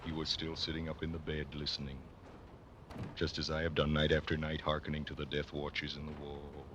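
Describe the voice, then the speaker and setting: An actor playing a nervous, cunning, mad murderer confessing in a theatrical horror monologue from a classic radio play, swinging between loud declaiming and hushed whispers. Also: male voice, completely flat delivery, brisk, dull audio. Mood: hushed, tense. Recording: noisy old radio recording, vehicle rumble. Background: vehicle noise from a driving game.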